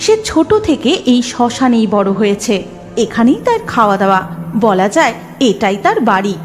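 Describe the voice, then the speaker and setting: A woman's voice: a little high, big pitch swings, normal speed. A woman narrating a scripted horror story dramatically, as the narrator of a cartoon. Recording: music laid under the narration.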